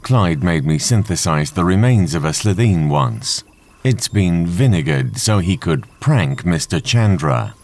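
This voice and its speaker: A man's voice: low-pitched, animated, measured pace, a little mellow. A man playing a polite supercomputer with dry sarcasm in an audio drama, formal and matter-of-fact. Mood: helpful.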